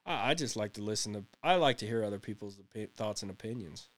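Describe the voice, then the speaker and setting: A man's voice: lowish voice, lively intonation, fast, fairly bright tone. A man in his late thirties co-hosting a casual podcast, rambling and laughing often as he chats. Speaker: podcast co-host. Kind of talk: casual chat.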